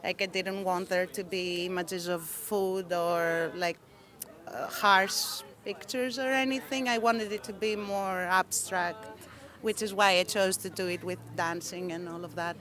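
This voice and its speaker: A woman's voice: fairly low pitch, steady tone, measured pace, a bit bright. A young woman from Greece, an animation filmmaker, answering interview questions in an earnest way. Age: young adult.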